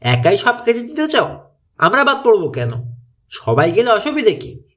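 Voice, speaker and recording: Man voicing a high-pitched, challenging teenage boy sidekick. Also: huge pitch swings, normal speed, a bit bright, exaggerated voice. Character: questioning, protesting teenage boy detective, the sidekick.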